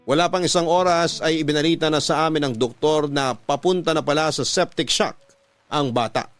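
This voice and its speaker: A man's voice: fairly high pitch, no echo. A man with a Filipino accent narrating a scripted first-person story in classic radio storytelling style. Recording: music under the voice.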